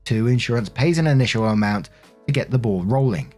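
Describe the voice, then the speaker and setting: A man's voice: mid-range voice, lively intonation, fairly quick, a little mellow, soft background music. A man narrating online stories in a plainspoken, conversational way, as a host who reads aloud and comments casually. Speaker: narrator and host of a story-reading show.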